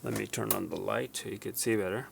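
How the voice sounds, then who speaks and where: A man presenting a casual hands-on repair demonstration, going step by step in a halting way and correcting himself. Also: on the low side, steady tone, gravelly, very noisy. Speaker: tutorial presenter.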